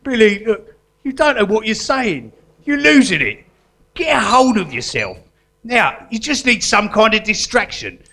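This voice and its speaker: A man's voice: high voice, big pitch swings, unhurried, pushed and bright, heavily acted. A man acting an earnest, fretful guerilla artist prisoner in a live stage comedy, stammering and coaxing as he talks. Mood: concerned, coaxing. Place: live performance venue.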